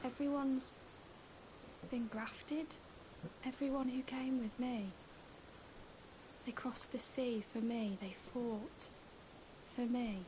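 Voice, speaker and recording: An actress playing a timid, mournful young woman in a video game, with a halting, wistful, hushed delivery. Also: on the high side, fairly even intonation, background noise. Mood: mournful, haunted. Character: timid, mournful young woman, self-confessed craven, darkly whimsical. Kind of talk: video game character dialogue.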